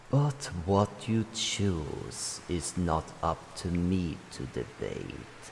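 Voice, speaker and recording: A man voicing an ancient, wise and protective dragon in a fantasy game roleplay, speaking in grave, formal phrases with deliberate pauses. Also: deep voice, gravelly, a little mellow, exaggerated voice. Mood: gentle, concerned. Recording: long cavernous reverb, faint noise.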